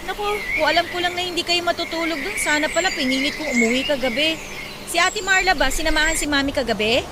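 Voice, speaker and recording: A woman's voice: sits high, fast, very noisy, lightly acted. A woman playing a caring, worried young adult daughter in a radio drama, speaking with respectful concern. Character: caring young adult daughter, band musician, worried about her mother. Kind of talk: Tagalog radio drama acting. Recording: loud music bed under the voice.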